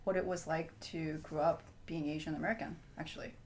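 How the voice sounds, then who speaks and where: A woman leading a group chat, halting and full of fillers and tag questions. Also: low voice, flat delivery, darkish tone, a little hiss or hum. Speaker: discussion leader. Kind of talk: casual group discussion.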